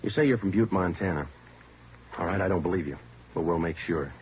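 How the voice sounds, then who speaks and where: An actor playing a terse police detective interrogating suspects in a 1950s radio drama, clipped and matter-of-fact. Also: male voice, deep voice, a little fast, a little mellow, natural voice. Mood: firm. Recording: dull old radio broadcast with faint hiss.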